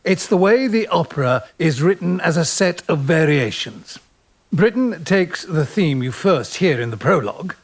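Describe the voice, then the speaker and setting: A man's voice: on the high side, animated, normal speed. An actor in an audio drama playing a cultured, romantic male physics teacher, earnest, erudite and self-deprecating. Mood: enthusiastic. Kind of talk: audio drama dialogue and narration.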